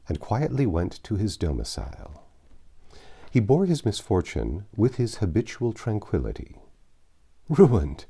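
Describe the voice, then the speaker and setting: A man narrating a classic novel in an expressive, measured style with dramatic pauses. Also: sits low, slightly rough. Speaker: audiobook narrator. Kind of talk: expressive literary storybook narration.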